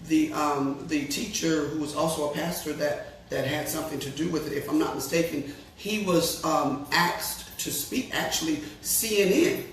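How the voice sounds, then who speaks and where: Gravelly co-host chatting on a faith show, lots of agreeing, far-off echoey sound. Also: mid-range voice, moderate pace, few pauses, a little hiss or hum. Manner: affirming, conversational, frequent agreement. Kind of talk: faith talk show discussion.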